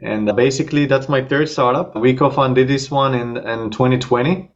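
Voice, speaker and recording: A man's voice: medium pitch, steady tone, moderate pace, highs cut off. A man with an Israeli accent, a startup co-founder, talking casually in an interview over a video call, with frequent fillers.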